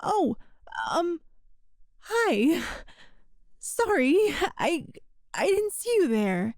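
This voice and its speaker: Woman acting high-voiced, awkward futuristic time traveler. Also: big pitch swings, extremely slow, darkish tone, no echo, studio quality, heavily acted. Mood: flustered, awkward. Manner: rambling, hesitant, awkwardly apologetic. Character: awkward, chatty, excitable female time traveler from far future. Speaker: audio roleplay performer. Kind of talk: ASMR roleplay acting.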